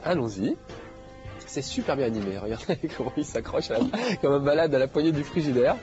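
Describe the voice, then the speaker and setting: A man's voice: mid-range voice, expressive, a little fast, lo-fi sound, music in the background. A man hosting a game show, talking casually over gameplay with a slight nasal head cold and lots of fillers. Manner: chatty, jokey, many fillers.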